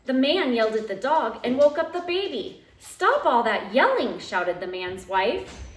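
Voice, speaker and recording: A woman reading a children's picture book aloud at home in an expressive, dramatic way, drawing out key phrases. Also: fairly high pitch, a little slow. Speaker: teacher reading aloud to young pupils. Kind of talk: animated children's storybook read-aloud. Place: living room at home. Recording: roomy, echoey home recording.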